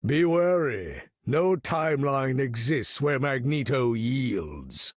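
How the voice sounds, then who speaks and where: An actor voicing an imperious, weary older mutant leader in a video game, with grandiose, formal, commanding speech and a gravelly tone. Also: male voice, mid-range voice, big pitch swings, slow pace, no room sound, studio quality. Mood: grave, resolute. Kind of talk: video game character voice lines.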